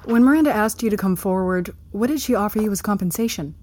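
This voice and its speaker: Sardonic actress as a female interrogator, medium pitch. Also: fast talker, a little mellow, lightly acted. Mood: pointed. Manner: pointed, sardonic questioning. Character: sceptical, cutting female interrogator. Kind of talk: audio drama acting.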